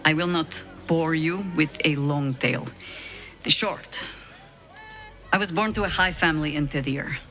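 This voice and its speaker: An actress voicing a weary, wry middle-aged woman agent in a video game, measured and self-deprecating, over music. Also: deep voice, a bit bright. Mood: reflective, candid. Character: weary, wry veteran high-ranking woman harper agent. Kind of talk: video game dialogue acting, reflective storytelling.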